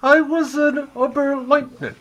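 A man's voice: very high-pitched, level tone, measured pace, a bit bright. A man playing a servile but ambitious German henchman with a German accent and theatrical delivery in an old radio drama. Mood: deferential, doubting. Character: servile, eager German henchman, former lieutenant, turning ambitious. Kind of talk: 1950s radio drama acting.

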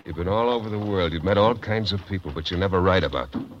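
A man's voice: low voice, fast talker, natural voice. An actor playing a blunt, suspicious, combative working-class crewman in a 1940s radio drama. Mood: scornful. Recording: muffled, noisy old radio recording.

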